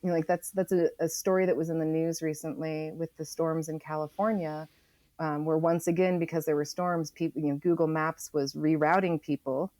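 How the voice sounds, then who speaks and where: Woman, very low voice, thoughtful interview guest, deliberate emphatic phrasing, dry recording. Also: little pitch movement, darkish tone, dry recording. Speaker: interview guest, environmental writer. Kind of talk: thoughtful conversational explanation.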